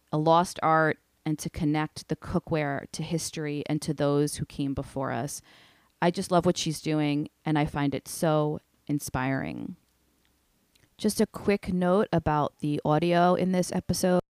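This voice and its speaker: Low, smooth woman reading an intro. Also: dry recording, clean studio sound. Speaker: podcast host. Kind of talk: scripted episode introduction.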